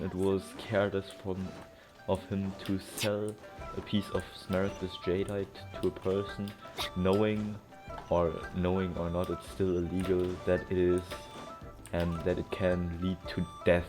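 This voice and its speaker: A man's voice: low voice, little pitch movement, unhurried. A man giving casual running commentary on a game he plays, rambling and thinking aloud in an incredulous way. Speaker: gamer doing a playthrough. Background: game music.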